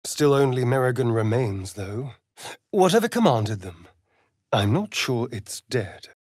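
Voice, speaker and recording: An actor with a British accent playing a sardonic, preening vampire rogue in a fantasy video game, with an arch, drawling, theatrical delivery. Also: male voice, medium pitch, lively intonation, measured pace, darkish tone, dry recording, studio quality. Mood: wary.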